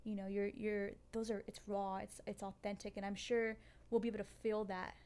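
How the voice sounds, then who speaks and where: Woman, podcast co-host, medium pitch, casual, affirming, conversational. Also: little pitch movement, a little fast, a little mellow, smooth. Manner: supportive, affirming.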